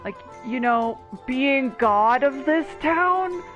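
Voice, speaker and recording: A woman commentates casually on her playthrough in a fairly high voice. She is playful and informal, on a slightly dull recording with faint game music behind her. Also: expressive, slow pace, a bit bright.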